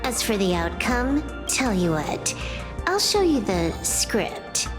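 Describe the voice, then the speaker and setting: Give the voice of a young hacker in a video game. She is cocky and nonchalant, taking her time with slyly teasing lines over clear background music. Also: mid-range voice, big pitch swings, takes it slowly. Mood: smug, casual. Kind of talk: video game story dialogue.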